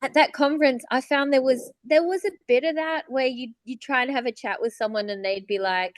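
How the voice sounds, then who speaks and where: A woman's voice: high voice, fairly quick, no room sound, studio quality. A woman co-hosting a podcast, telling stories in blunt, jokey, slangy casual chat. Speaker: podcast co-host; voice actor.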